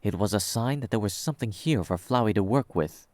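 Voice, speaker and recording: A man with a low voice narrates a piece of fiction. He reads scripted prose as the storyteller of an audio drama. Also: expressive, fairly quick, darkish tone, no echo.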